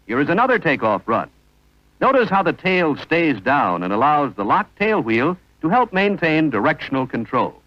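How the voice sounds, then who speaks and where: A man's voice: on the high side, sing-song, moderate pace, dry recording, highs cut off. A man narrating an instructional training film in a formal, precise manner on a vintage soundtrack.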